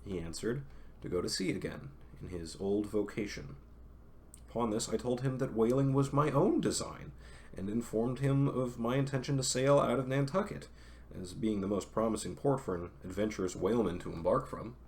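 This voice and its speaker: A man's voice: medium pitch, moderate pace, a little mellow. A young man reading a classic novel aloud on a stream, breaking off for chatty, self-correcting asides to his viewers.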